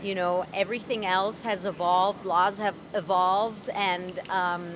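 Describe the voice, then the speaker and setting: A woman talks candidly as an interview guest out on a city street. Her speech is casual, she laughs easily, and she slips in dark humor. Faint traffic hums in the background. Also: mid-range voice, fairly even intonation, a little slow. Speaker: interview guest, voice actor and comedian.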